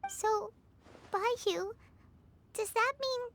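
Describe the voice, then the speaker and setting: High, languid ancient snake spirit. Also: female voice, extremely slow, a little hiss or hum, heavily acted. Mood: puzzled. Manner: languid, resigned, theatrical. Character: ancient female snake spirit, world-weary contract keeper. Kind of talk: dramatic video game acting. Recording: loud music over the voice.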